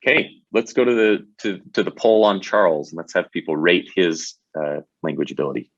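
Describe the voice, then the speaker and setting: Very low-voiced man presenting a webinar. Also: a little fast, husky, no room sound, muffled recording. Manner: conversational, with many fillers and restarts.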